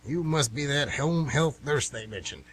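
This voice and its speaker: Man as a rambling, gruff old retiree, slightly husky. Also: mid-range voice, animated, normal speed. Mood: chatty. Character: grumpy, hard-of-hearing old retired man. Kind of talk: amateur radio play acting.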